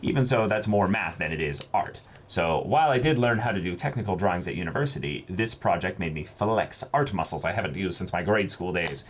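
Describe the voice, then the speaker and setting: A man chatting casually and reading letters aloud as co-host of an unboxing, halting when he ad-libs and expressive when he reads. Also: low voice. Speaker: co-host of a reaction channel. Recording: faint background noise.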